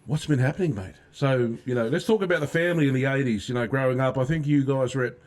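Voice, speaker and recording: A man with a mid-pitched voice hosts a relaxed studio conversation. He is jovial and chatty, and often laughs with his guest. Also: fast talker. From Samoan family in Australia. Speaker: host. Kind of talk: casual studio chat.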